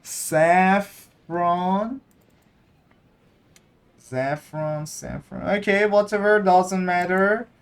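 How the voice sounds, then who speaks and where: A man's voice: high voice, steady tone, very slow, long pauses, clear, resonant tone. A man from Iran with an Iranian accent, a native Persian speaker, giving casual gameplay commentary and hesitantly searching for English words. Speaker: gaming content creator.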